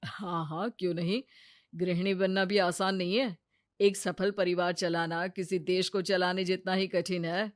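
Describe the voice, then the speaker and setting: A woman voicing a mild, supportive young husband in a measured, serious manner in a story. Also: fairly low pitch, level tone, dry recording, clean studio sound, close to an everyday voice.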